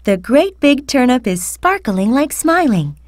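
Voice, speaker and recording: Female storyteller for children's fairy tales: high, lively delivery, careful enunciation. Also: no room sound, studio quality. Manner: slow, careful, deliberate enunciation. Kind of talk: scripted children's fairy tale narration.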